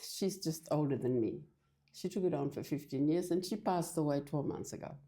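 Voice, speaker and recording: An older woman from Fiji, talking in a halting, hesitant way as a guest in a casual church interview. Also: low-pitched, dark tone. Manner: hesitant, halting with many restarts.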